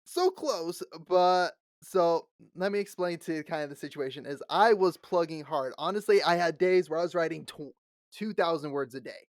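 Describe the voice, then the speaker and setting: A man's voice: a little high, moderate pace, no echo, clean studio sound. A man in his late twenties, co-hosting a podcast in casual chat, laughing often and acting out the people he quotes. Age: late 20s. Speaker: podcast co-host.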